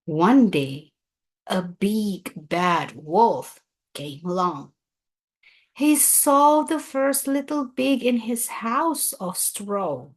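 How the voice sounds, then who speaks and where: Very slow, expressive woman storyteller. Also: mid-range voice. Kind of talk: scripted children's story read-aloud.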